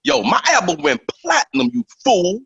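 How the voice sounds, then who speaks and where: A man's voice: very high-pitched, very animated, moderate pace, vocal fry, a bit bright, slightly rough, lo-fi sound, exaggerated voice. An actor playing a cocky, defensive young male singer in a radio drama.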